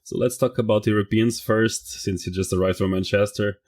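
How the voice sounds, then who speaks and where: A man's voice: on the low side, fairly even intonation, brisk, a little mellow. A man hosting a podcast, welcoming and interviewing a guest over a video call. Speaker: podcast host. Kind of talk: casual podcast chat.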